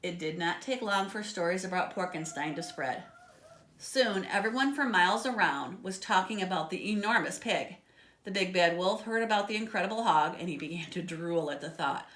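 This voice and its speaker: Bright-voiced woman reading a children's story. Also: lowish voice, moderate pace. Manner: expressive, dramatic. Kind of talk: children's read-aloud with asides to listeners. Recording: echoey, roomy sound.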